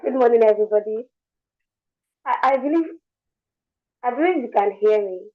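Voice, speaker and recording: A woman's voice: fairly high pitch, moderate pace, dull audio. Young woman speaking with a worn voice. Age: young adult. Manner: earnest, repeats words while speaking. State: lost her voice. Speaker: guest speaker. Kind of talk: motivational talk.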